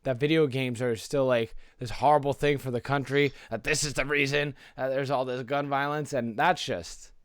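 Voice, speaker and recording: A man talks as a podcast co-host in a dry, close-sounding recording. His pitch is medium, and his delivery is informal and conversational, peppered with rhetorical check-ins. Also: fairly even intonation, moderate pace, few pauses, clear, resonant tone, no room sound. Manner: informal, rhetorical tag questions.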